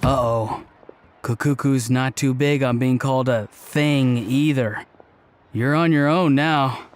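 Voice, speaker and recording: A man playing a laid-back, sincere young man in a video game, casual and deadpan, seeming a little clueless. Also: mid-range voice, takes it slowly, slight background noise, lightly acted. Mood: mild, firm.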